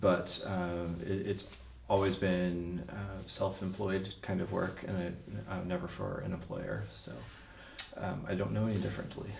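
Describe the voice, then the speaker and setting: A very deep-voiced man from Oregon speaks in an interview with a gravelly edge. He talks haltingly, full of pauses and fillers. The room around him is echoey. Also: a little mellow. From Portland, Oregon, USA. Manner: hesitant, with many fillers. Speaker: freelance musician. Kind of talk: interview talk.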